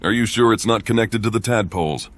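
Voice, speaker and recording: A man's voice: fairly low pitch, quick pace, natural voice. An actor playing a firm, composed male paladin in a fantasy game, speaking formally and directly. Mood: measured. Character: firm, authoritative male paladin judging fairly. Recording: music behind the voice.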